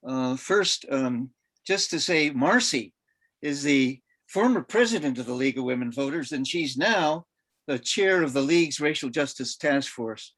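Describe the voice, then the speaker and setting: A man hosting a roundtable, giving informal introductions in a somewhat halting way. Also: medium pitch, lively intonation, a bit bright, dry recording. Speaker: roundtable host. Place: online video meeting.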